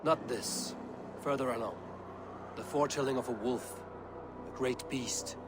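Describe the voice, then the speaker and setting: A man's voice: mid-range voice, unhurried, noisy background, lightly acted. Havi, brooding king of the gods, played by a man. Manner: commanding, brooding. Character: Havi, proud aging male king of the gods. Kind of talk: video game cutscene acting.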